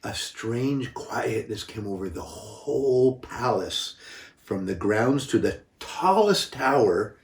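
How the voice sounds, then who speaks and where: Older man reading slowly in an echoey room. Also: medium pitch, expressive. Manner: unhurried, dramatic emphasis, occasional stumbles. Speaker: grandfather reading stories. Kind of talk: children's storybook narration with homely asides. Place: echoey room, away from the mic. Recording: roomy, echoing, distant microphone.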